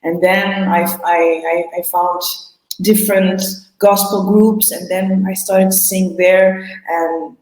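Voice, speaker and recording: A woman's voice: mid-range voice, flat delivery, a little mellow. Woman chatting in english, keeps restarting her sentences, echoey room. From Portugal. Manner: halting, restarts phrases, laughs between answers. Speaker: singer, interview guest. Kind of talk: casual interview answers.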